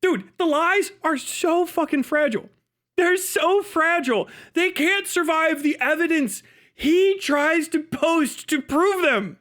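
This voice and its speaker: Man, extremely high pitch, forceful bright tone, profane, mocking, incredulous, livestream rant. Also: takes it slowly. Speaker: livestreamer reacting to a video. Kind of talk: ranting casual livestream commentary.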